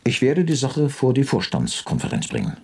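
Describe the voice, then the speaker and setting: A man's voice: mid-range voice, huge pitch swings, normal speed, darkish tone, slightly rough, exaggerated voice. A man playing a pompous, moralizing company director in a radio drama, speaking in formal, lofty phrases.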